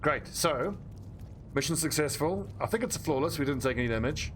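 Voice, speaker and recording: A man's voice: a little high, lively intonation, a little fast, slight background noise. A man with a South African accent giving casual, blunt commentary while streaming a game and chatting with viewers. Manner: chatty, blunt, swears freely, talks to viewers.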